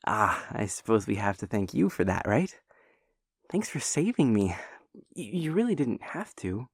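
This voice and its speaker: A woman's voice: very deep voice, very animated, moderate pace, breathy edge, no echo, clean studio sound, exaggerated voice. A woman playing a polite, nervous doctor in an audio drama, hesitant and stammering, given to mild understatement. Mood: bashful. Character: polite, nervous, quirky doctor in a sci-fi adventuring party. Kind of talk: anime-style audio roleplay acting. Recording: loud music behind the voice.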